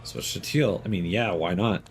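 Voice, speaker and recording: A man's voice: sits low, normal speed, music in the background. A man streaming a video game and chatting casually as he plays, thinking aloud with fillers and small laughs. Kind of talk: casual live gameplay commentary.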